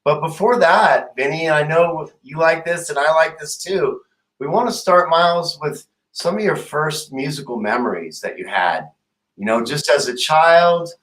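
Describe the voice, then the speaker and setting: A man's voice: on the high side, lively intonation, a little slow, a bit bright, poor audio. A man in his fifties hosting a talk show, effusive and rambling as he chats with a guest. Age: 50s. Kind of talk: casual interview chat.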